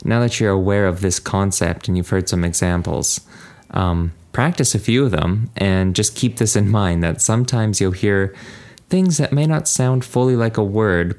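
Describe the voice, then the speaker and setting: A man with a low voice hosts a language podcast. He speaks in a casual, conversational way, as if chatting through a lesson with a co-host and listeners. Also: creaky, a little mellow.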